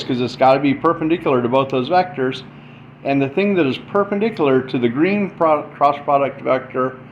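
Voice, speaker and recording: A man giving an informal explainer, thinking aloud in short, stop-start phrases as he demonstrates. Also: mid-range voice. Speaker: presenter explaining math. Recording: dull lo-fi mic, faint background noise.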